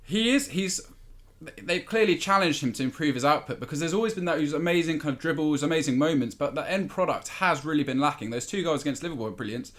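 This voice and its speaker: A man giving conversational football analysis as a podcast pundit, measured and articulate. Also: on the high side, animated, fairly bright tone.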